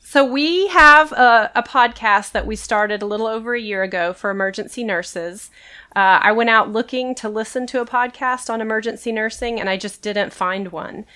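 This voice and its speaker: A woman who is an emergency nurse hosting a podcast, talking casually while congested from a cold, relaxed and laughing often. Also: fairly high pitch, normal speed. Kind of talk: casual interview chat.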